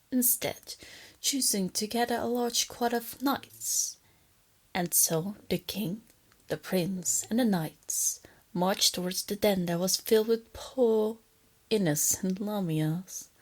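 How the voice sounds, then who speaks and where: A woman acts out a female lamia captor in a soft ASMR roleplay. Her voice sits a little low and is breathy, often slipping into whispers. She coaxes and teases with doting condescension and a hint of threat. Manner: teasing, coaxing, condescending, giggly. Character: female lamia captor, softly teasing, doting yet menacing.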